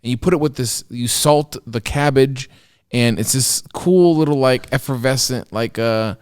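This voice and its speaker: A man co-hosting a podcast, with a mid-pitched voice. He rambles enthusiastically through food explanations and teases his partner. The recording is dry and close, with no room sound. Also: moderate pace, a little mellow, smooth, no echo. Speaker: podcast co-host. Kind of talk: casual banter.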